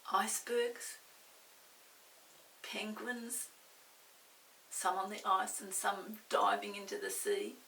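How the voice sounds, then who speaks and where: Older woman storyteller, very unhurried, roomy distant recording, faint hiss, reading to little children. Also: medium pitch, gravelly, a bit bright. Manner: unhurried, pausing to describe pictures and ask questions. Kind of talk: read-aloud picture-book storytelling for small children.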